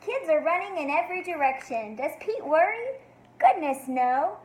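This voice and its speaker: A woman reading a picture book to young children like a teacher, expressive and sing-song, with encouraging questions. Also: very high-pitched, normal speed, low-quality recording. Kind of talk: read-aloud for young children, asking questions.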